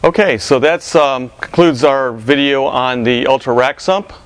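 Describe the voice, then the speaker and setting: A middle-aged man presenting a company product in an informal demonstration, explaining haltingly with frequent pauses. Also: medium pitch, fairly even intonation, a little hiss or hum. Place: warehouse with metal walls and racking.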